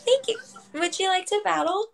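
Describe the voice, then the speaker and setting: A woman in her twenties from Florida, chatting politely and brightly on a phone live stream. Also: extremely high pitch, normal speed, gravelly, soft background music. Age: 20s. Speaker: livestreamer. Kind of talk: casual live stream chat. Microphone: phone camera in a video split-screen.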